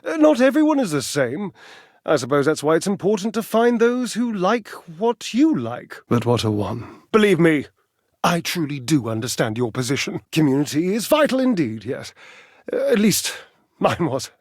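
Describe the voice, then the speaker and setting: An actor playing a vain, athletic talking appliance in a dating game, pompous and petulant, with flamboyant, condescending, wordy delivery. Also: male voice, a little high, very animated, moderate pace, a little mellow, no room sound, studio quality. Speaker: video game character actor. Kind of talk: theatrical dating-game character acting.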